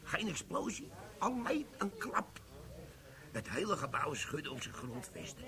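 An army bomb sergeant, radio play, storytelling and commanding, slightly high voice. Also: male voice, sing-song, a crowd in the background. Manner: storytelling, then brisk and commanding. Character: gruff older male army bomb sergeant.

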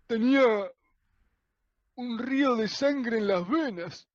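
A woman playing a jeering onlooker in a bloodthirsty mob, exclaiming loudly in a radio drama. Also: fairly high pitch, takes it slowly, no echo, dull audio. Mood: gloating, excited.

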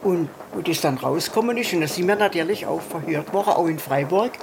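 A man with a fairly high voice recalls things conversationally, restarting often. Clear background noise runs under his speech. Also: expressive, fairly quick. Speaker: witness. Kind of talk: conversational recollection. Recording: clearly noisy interview.

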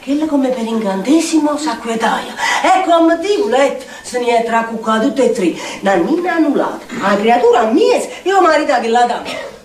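A woman with a Neapolitan accent performing an expressive theatrical storytelling monologue in dialect, repeating phrases like an incantation. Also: a little high, moderate pace. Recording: echoey distant stage recording with noise.

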